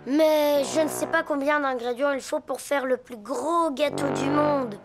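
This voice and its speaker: High-voiced, cautious little cartoon boy. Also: fairly even intonation, measured pace. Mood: unsure, thoughtful. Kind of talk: animated children's cartoon dubbing. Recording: music under the voice.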